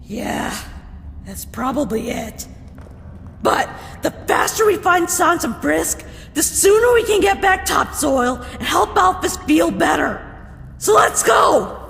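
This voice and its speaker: A woman voicing a brash, impatient female monster warrior in a fan comic dub, forceful and blunt. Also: high-pitched, sing-song, slow pace, gravelly, big-room sound, heavily acted. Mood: determined.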